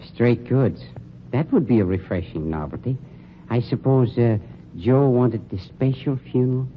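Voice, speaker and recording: A man's voice: mid-range voice, a little slow, natural voice. An actor playing a polished, scheming funeral director who talks down to his night man, in 1940s radio drama style. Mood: smooth. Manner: smooth, condescending, sneering. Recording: dull, noisy old radio recording.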